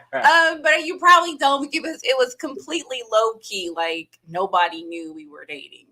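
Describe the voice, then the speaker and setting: A woman guest telling the story of meeting her husband in a relaxed, chatty way, laughing often. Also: high-pitched, moderate pace, poor audio. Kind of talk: casual chat.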